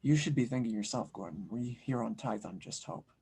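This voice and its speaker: A man playing a kindly, wise blacksmith monk in an audio drama read, calm and encouraging. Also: medium pitch, brisk, creaky, slight background noise. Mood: gentle, encouraging.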